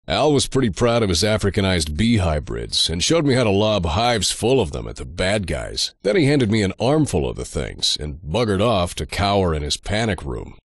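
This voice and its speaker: Video game antihero narrator: low, sarcastic drifter, deadpan wit, dry studio sound. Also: male voice, moderate pace, hardly any pauses, no echo, exaggerated voice. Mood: dry sarcasm. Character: sardonic, foul-mouthed male drifter antihero narrating his misadventures.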